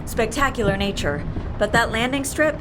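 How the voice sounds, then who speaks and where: Woman acting a chatty, blunt lady pilot, higher-pitched. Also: moderate pace, background noise, close to an everyday voice. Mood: chatty, teasing. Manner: talkative, wry, animated. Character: brisk, chatty, blunt female death-faking agent and pilot. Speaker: actor in an audio fiction series. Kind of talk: audio drama dialogue.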